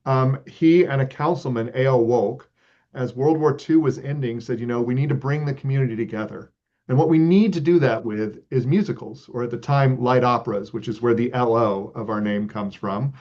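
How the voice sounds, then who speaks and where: A man of middle pitch talks through his answers in an earnest, reflective way and keeps filling pauses with ums and uhs. The audio is slightly muffled, with a lo-fi edge. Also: darkish tone. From Texas. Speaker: arts organization leader, guest. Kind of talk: relaxed interview answers.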